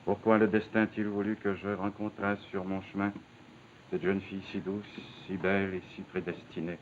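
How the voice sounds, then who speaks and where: A man plays a solemn bourgeois father in a 1950s television drama. His voice is deep and his diction is grave and theatrical. The old soundtrack is dull, with a faint hiss. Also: level tone, normal speed, close to an everyday voice. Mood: mournful reading.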